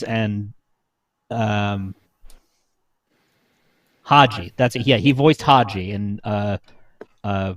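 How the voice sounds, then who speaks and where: A man in his thirties speaking as a panelist in a casual chat, recounting things in an informal, halting, conversational way. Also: lowish voice, dry recording.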